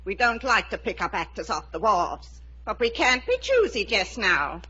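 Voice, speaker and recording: Sharp, stern showboat matron. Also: female voice, medium pitch, big pitch swings, moderate pace. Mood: curt, disdainful. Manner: curt, disapproving. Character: stern, snobbish middle-aged wife of showboat captain. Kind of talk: 1950s radio drama acting. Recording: dull, noisy old radio broadcast.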